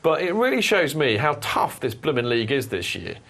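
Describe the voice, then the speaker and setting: Animated man hosting football chat. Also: medium pitch, brisk. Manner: animated, rambling with restarts. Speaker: podcast host.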